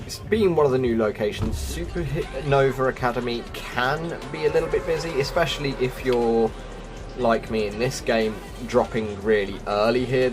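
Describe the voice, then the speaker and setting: A man giving casual walkthrough commentary as the host of a gaming video. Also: medium pitch. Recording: music behind the voice.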